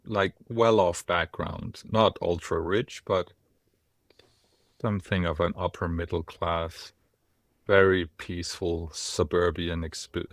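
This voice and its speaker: A man from Denmark, a former monk, telling his life story as a podcast guest in a reflective, wry way with lively asides. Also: sits low, gravelly, no room sound. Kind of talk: casual podcast conversation.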